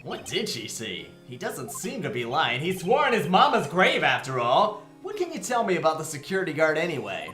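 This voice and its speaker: A woman's voice: deep voice, huge pitch swings, a little fast, hardly any pauses, fairly bright tone, heavily acted. A woman voicing an easygoing young male defense lawyer with a playful, sarcastic manner in a video game fan dub. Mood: casual. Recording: roomy echo, faint music behind.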